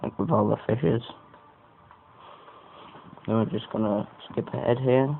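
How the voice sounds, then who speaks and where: A man talks through a casual product review in a croaky, gravelly voice that sits fairly low. He is hesitant and rambling, making it up as he goes. The recording is narrow and phone-like, with a faint rumbling noise underneath. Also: unhurried. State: croaky voice today.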